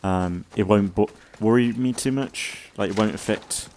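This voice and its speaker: A man giving casual, rambling commentary as a let's play host, thinking aloud in a halting way and sounding slightly congested. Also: on the low side, darkish tone, a little hiss or hum. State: slightly congested from an oncoming cold.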